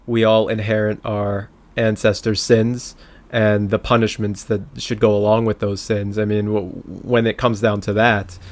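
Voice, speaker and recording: A man's voice: fairly low pitch, little pitch movement, normal speed. A man co-hosting a political talk podcast, speaking informally and conversationally with hesitant starts.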